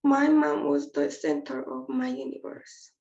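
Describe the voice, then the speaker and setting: Woman in her twenties, heavy vocal fry, slow deliberate speech. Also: high voice, big pitch swings, a little mellow. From North Korea. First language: Korean. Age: 20s. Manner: measured and earnest, read from a prepared text. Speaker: invited speaker. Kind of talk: prepared personal testimony speech. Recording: echoey dull lo-fi audio.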